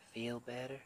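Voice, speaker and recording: Man acting a slow, tender Southern husband. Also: mid-range voice, slight background noise. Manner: soothing, gentle, affectionate pet names, often half-whispered. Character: tender, reassuring Southern husband comforting his stressed wife. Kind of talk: ASMR roleplay, intimate and soft.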